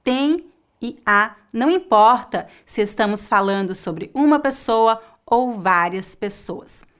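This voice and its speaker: Slow, clear Brazilian woman teacher. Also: medium pitch, lively intonation, fairly bright tone. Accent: Brazilian Portuguese. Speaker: Portuguese teacher. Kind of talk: scripted online language lesson.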